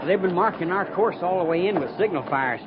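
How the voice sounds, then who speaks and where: An actor playing a wry, easygoing frontier cavalry corporal in a 1950s radio western, with folksy, ungrammatical talk. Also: male voice, high voice, lively intonation, fairly quick. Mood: respectful, plainspoken. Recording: muffled, hissy 1950s radio recording.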